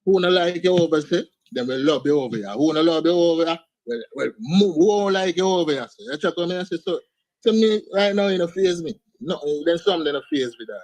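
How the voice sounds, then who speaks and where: A man's voice: a little high, expressive, quick pace, darkish tone, no room sound, poor audio. A man with an Antiguan accent, talking casually as a guest artist in an interview, often ending lines with "man" and "you understand". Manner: laid-back, sprinkles speech with "man" and "you understand". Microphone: video call.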